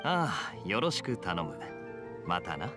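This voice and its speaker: Suave young game knight: lowish voice, teasing, confident, expressive pitch. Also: male voice, slow pace, loud music behind the voice, heavily acted. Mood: cool, amused. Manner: smooth, casually confident, teasing. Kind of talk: video game voice acting.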